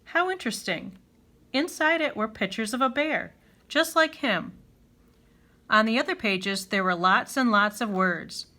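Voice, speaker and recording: A woman reading a children's picture book aloud in an expressive, clearly phrased storytelling style. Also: fairly high pitch, a little slow.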